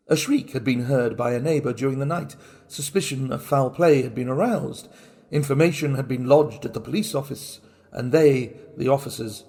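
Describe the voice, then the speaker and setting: Theatrical mad murderer, acted by a man, creaky voice. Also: medium pitch, darkish tone, natural voice. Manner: theatrical, deliberate, intense, broken into short phrases. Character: mad, guilt-racked murderer boasting of his cunning. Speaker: reader of a classic horror story. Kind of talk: dramatic first-person storytelling.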